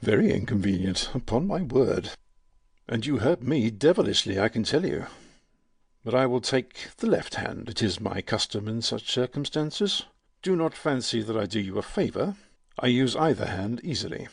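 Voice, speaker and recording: An actor playing a proud, courteous, wounded musketeer with a formal, lordly manner in a dramatic audiobook. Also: male voice, fairly low pitch, breathy edge, no room sound, clean studio sound.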